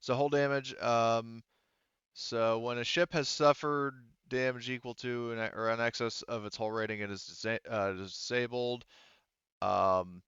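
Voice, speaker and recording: A man's voice: medium pitch, steady tone, measured pace, a bit bright, clean tone, no room sound. A man working as game master in a casual tabletop session, thinking aloud informally with some swearing on a slightly dull close microphone. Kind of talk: casual tabletop game narration and rules talk.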